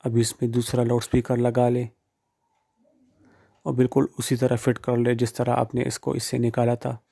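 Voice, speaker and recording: A man's voice: mid-range voice, monotone, normal speed, creaky, mellow. A man giving plain, informal step-by-step how-to instructions, speaking directly to the viewer. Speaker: phone repair tutorial presenter.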